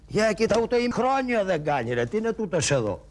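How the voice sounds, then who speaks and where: A man voicing a poor, cheeky male trickster in a shadow-puppet play, full of mocking wisecracks and loud street-crier shouts. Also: high-pitched, big pitch swings, noisy background. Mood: griping. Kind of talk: Greek shadow-puppet theatre.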